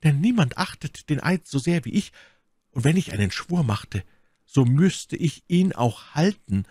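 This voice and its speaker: A man's voice: fairly high pitch, sing-song, unhurried, warm tone, breathy edge, dry recording, studio quality, voice pushed up, full character voice. A man reading aloud, voicing a proud, manipulative noblewoman held prisoner, in a dramatic pleading tone. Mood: insistent. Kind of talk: audiobook character reading.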